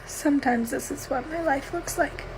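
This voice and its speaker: A woman's voice: sits high, normal speed. A tearful woman speaking in a sad, confessional vlog. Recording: clear background noise.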